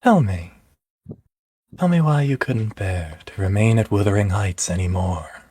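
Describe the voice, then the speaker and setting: A man's voice: animated, measured pace, warm tone, breathy edge, no room sound. A man voicing an anguished, self-loathing young man maddened by grief over lost love, in an amateur game dub. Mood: bitter, weary. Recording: low-quality amateur voice-chat recording.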